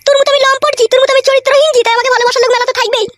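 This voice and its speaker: A woman's voice: very high-pitched, steady tone, rapid-fire, fairly bright tone, slight background noise. A woman voicing a scornful, aggrieved first wife in a comic cartoon, scolding and cursing.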